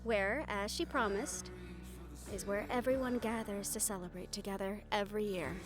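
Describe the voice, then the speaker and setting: A woman's voice: mid-range voice, fairly even intonation, moderate pace, over music. A woman giving scripted story narration for an audio roleplay, as its storyteller. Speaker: storyteller and voice performer.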